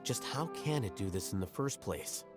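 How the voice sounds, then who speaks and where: A man voicing a young scholar from a video game, calm and analytical. His voice sits low, and he lays out each point in measured, articulate steps, with music playing faintly behind him. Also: natural voice. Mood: analytical, probing. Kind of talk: scripted video game dialogue.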